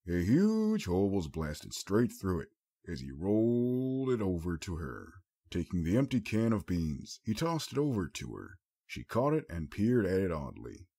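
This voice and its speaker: A man's voice: low voice, darkish tone, slightly rough. A man reading scripted fiction aloud as an audiobook narrator.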